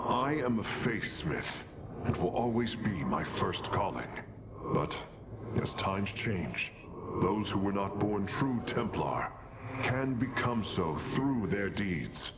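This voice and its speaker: Game role: humble alien craftsman, lowish voice, precise and formal, heavy noisy ambience behind. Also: lively intonation, slow pace. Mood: humble sincerity. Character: earnest, humble alien engineer. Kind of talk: cinematic video game acting.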